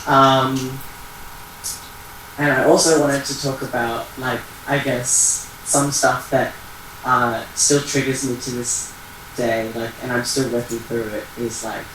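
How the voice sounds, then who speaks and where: A young man from Iran speaking casually and personally at a community talk, halting as he searches for words. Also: mid-range voice, little pitch movement, a bit bright. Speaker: panel speaker. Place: echoey event venue. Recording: very echoey room, distant mic, faint noise.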